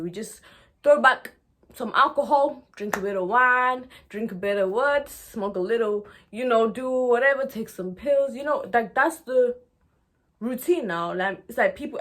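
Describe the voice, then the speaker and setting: A woman in her twenties from Nigeria talks openly to her viewers as a video blogger. Her voice sits at a medium pitch for a woman. Her delivery is conversational and expressive, often checking that the listener follows. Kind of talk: candid personal talk to viewers.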